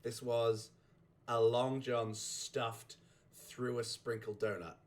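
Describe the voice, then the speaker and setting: A man's voice: medium pitch, flat delivery, takes it slowly. A man hosting a casual recap chat, rambling and chuckling as he restarts his sentences, his voice just recovering from a sore throat. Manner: rambling, chuckling, self-interrupting. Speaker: host.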